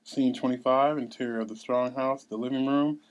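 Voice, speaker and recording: A man's voice: medium pitch, fairly even intonation, normal speed, clear, resonant tone, dull audio. A man with a mid-range voice reads stage directions aloud. He delivers scene headings and action as scripted narration at a table read.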